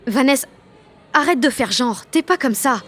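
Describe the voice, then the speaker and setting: A woman's voice: high voice, animated, moderate pace, a bit bright. An actress playing a rebellious, emotional sixteen-year-old girl who narrates an audio drama in blunt, sarcastic slang. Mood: angry. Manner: slangy, blunt teenage delivery. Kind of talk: first-person audio fiction narration.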